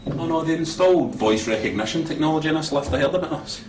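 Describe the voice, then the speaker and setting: A man acting an exasperated, excitable Scottish man in a comedy sketch, from a played-back clip. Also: fairly high pitch, fast, lightly acted. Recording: distant, echoey, noisy video clip.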